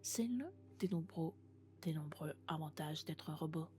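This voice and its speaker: A woman playing a friendly, doting female robot in an ASMR roleplay, speaking gently and often in whispers. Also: low voice, expressive, moderate pace, faint music behind. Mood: gentle, cheerful. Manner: gentle, reassuring, alternating soft speech and whispers. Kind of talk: French ASMR roleplay, soft-spoken and whispered.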